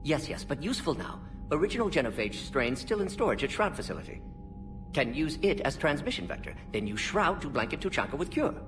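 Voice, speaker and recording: An actor playing a fast-thinking, clinical alien scientist in a video game, speaking in clipped, telegraphic phrases. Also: male voice, on the high side, very animated, recorded from a distance, music in the background. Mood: brisk. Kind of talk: video game cutscene acting.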